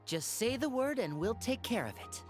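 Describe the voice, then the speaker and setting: A woman playing a composed, courteous young stage magician with measured, polite speech in an anime-style game. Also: on the high side, very animated. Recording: clear music behind the voice. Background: music.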